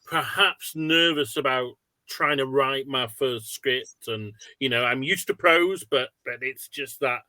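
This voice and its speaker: A man's voice: medium pitch, measured pace, pushed and bright, no room sound. A man hosting a casual online interview, asking wordy, hesitant, self-deprecating questions. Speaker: webinar host and interviewer.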